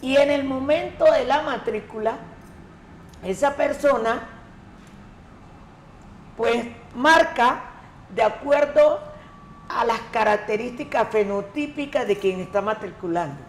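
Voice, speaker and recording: A woman from the Colombian Pacific coast, an education coordinator answering interview questions in a measured, emphatic way. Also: on the high side, slight background noise. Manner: measured, emphatic, repeats key words. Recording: echoey room, faint ambience.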